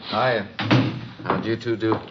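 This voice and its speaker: An actor playing a brisk, practical homicide detective giving clipped, businesslike police reports in a 1950s radio drama. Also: male voice, lowish voice, fairly even intonation. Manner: terse, businesslike. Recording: dull, faintly noisy vintage radio recording.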